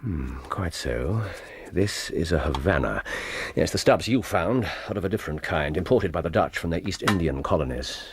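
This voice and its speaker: An actor playing a brilliant, brisk, self-assured English private detective in a radio drama, speaking incisively and precisely with authority. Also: male voice, deep voice, lively intonation, noisy background. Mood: musing. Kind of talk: BBC radio drama acting.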